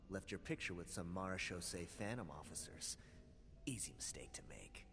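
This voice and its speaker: A man voicing a smug, menacing criminal boss in a video game, slangy and intimidating. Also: low voice. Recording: long hall reverb, music underneath.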